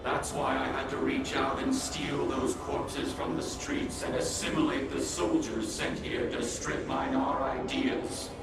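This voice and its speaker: A man's voice: medium pitch, little pitch movement, measured pace, few pauses, close to an everyday voice. A man playing a sentient supercomputer villain in a comic-book drama, speaking formally and condescendingly in grandiose monologue. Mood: grandiose, lecturing. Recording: heavily echoing, distant, loud music behind.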